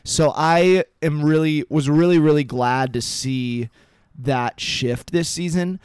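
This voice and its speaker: A man hosts a sports podcast in a measured, analytical way. His voice is smooth and resonant, and it sits a little high. The sound is dry and close. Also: a little mellow, no echo. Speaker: podcast host. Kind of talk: casual sports chat.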